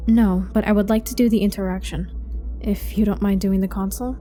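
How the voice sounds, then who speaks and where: An actress playing an earnest young trainee prison technician in an audio drama, probing and firm in a measured way. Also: female voice, medium pitch, steady tone, dark tone, faint music behind, natural voice. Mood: determined. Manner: measured, formal when questioning.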